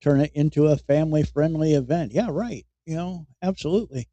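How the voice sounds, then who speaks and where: A man hosting a podcast, giving mocking news commentary in a halting way and chuckling at his own jokes. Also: a little high, warm tone, dry recording, lo-fi sound. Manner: halting, repeats words as he goes. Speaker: podcast host.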